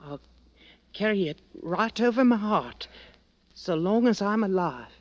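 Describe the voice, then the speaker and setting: A dull old radio recording carries a young English soldier boy played by an actor. His working-class voice moves widely in pitch, cocky and fond, in vintage drama style. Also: animated, a little slow, no echo, lightly acted. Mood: tender. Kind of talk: 1940s radio drama acting.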